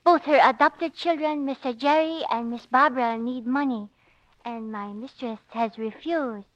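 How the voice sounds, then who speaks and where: A woman's voice: high-pitched, a little slow, a bit bright, no echo. An actress playing a loyal, anxious foreign lady's companion in a 1940s radio drama, earnest and formal with clipped grammar. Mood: anxious, pleading. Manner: earnest, formal phrasing without articles. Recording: dry, dull vintage 1940s radio recording.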